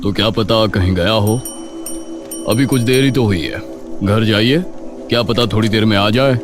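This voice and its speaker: A man's voice: fairly low pitch, moderate pace, music in the background, natural voice. A man voicing a lazy middle-aged police inspector who brushes off a missing-person report. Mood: dismissive. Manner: offhand, brusque, unconcerned.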